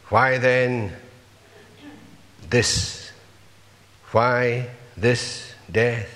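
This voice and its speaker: Slow, earnest preacher man in a reverberant hall. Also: mid-range voice, a bit bright. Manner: earnest, asks the congregation rhetorical questions. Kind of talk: sermon.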